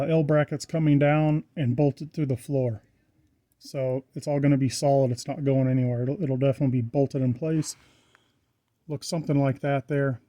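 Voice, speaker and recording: A man's voice: medium pitch, dark tone. A man giving a casual, plainspoken hands-on walkthrough of his own project, informally pointing things out as he goes. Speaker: vlogger showing his truck wiring project.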